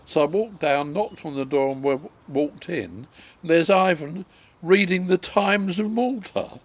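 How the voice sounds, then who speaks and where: A man, recalling his life story in a halting way with many pauses and ums. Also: on the high side. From England. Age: 90s. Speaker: interviewee.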